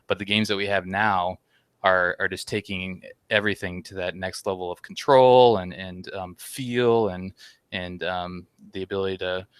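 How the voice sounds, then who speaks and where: Smooth low-voiced man hosting. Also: steady tone, normal speed, no room sound. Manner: leads the talk, asks questions. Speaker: podcast co-host. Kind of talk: casual chat.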